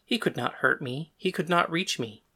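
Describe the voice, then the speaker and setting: Woman doing low voiced moody death god, bitter and defiant, telling their own story. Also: moderate pace, pushed and bright, slightly rough, full character voice. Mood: brooding. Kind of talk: first-person dramatic narration and dialogue.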